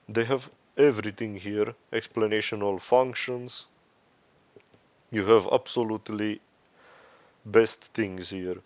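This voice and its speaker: A man giving a casual, unscripted software tutorial in a rambling way, swearing and correcting himself as he goes. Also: on the low side, dry recording, highs cut off. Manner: rambling, profane, self-correcting. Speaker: tutorial presenter.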